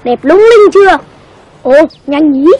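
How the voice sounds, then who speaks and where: A woman's voice: sits high, expressive, unhurried, a little mellow, a little hiss or hum, low-quality recording. A woman voicing a cocky, scheming schoolboy in a cartoon, bossy, boastful and given to exclaiming. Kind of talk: cartoon voice acting.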